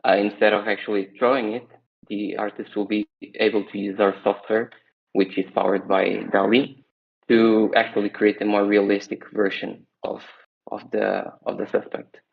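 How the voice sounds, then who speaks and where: Man from Portugal, panel guest: low, gravelly and flat, calm explainer on a muffled call line. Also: moderate pace. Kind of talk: casual explanation. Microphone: video call. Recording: dull lo-fi video call.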